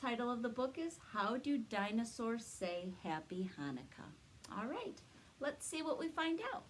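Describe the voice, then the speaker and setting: A woman's voice: on the high side, lively intonation, moderate pace, a little hiss or hum. A woman leading a read-aloud story time for young children, sing-song and encouraging, explaining things simply.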